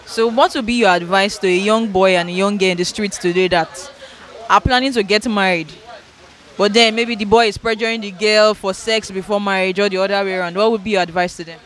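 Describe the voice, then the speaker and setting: Bright-voiced young woman asking questions. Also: mid-range voice, steady tone, a little fast, low-quality recording. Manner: direct, probing questions. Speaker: street interviewer. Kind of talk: interview questions. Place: outdoors on a street.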